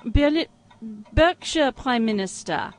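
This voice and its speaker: High-voiced German spy secretary. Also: female voice, lively intonation, takes it slowly. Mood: deferential, sly. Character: female German spy posing as demure English secretary. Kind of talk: comic audio drama acting.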